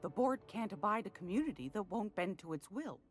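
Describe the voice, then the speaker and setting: A woman's voice: medium pitch, very animated, fairly quick, soft background music, close to an everyday voice. An actress playing a folksy, sly older woman trader in a video game, sweet-talking and knowing, with a drawl. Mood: wry, confiding. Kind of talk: video game character dialogue.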